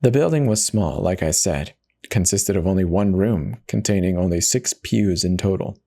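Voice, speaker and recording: A man's voice: sits low, moderate pace, creaky, mellow. A man voicing a self-justifying, conversational narrator who casually confesses in a first-person dramatic horror reading. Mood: uneasy recounting. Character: confessional devout man turned self-eater, casually rationalizing.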